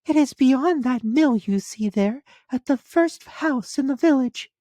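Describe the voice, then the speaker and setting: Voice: high voice, unhurried, warm tone, dry recording, voice pushed up. A man voicing an innocent, naive little country girl in a fairy tale, trusting and wide-eyed with a singsong delivery.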